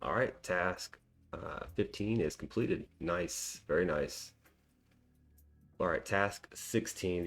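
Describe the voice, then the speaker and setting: A man hosting a cybersecurity walkthrough, thinking aloud in a casual, self-deprecating way with frequent ums and small laughs. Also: deep voice. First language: English. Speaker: cybersecurity tutorial host.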